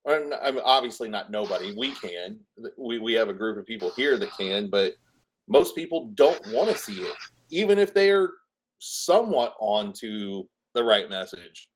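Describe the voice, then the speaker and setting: A man's voice: on the low side, lively intonation, normal speed, a little hiss or hum, rough recording. A man with a lingering Southern Indiana accent, chatting casually on a group call and often hedging and restarting his sentences. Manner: hesitant, restarts and hedges.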